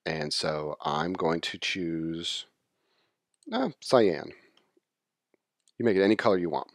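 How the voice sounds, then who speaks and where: Low-voiced man giving a software walkthrough. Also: takes it slowly, dry recording, studio quality. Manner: conversational, explanatory, repeats key points for clarity. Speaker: software tutorial presenter. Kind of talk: informal screen-share walkthrough.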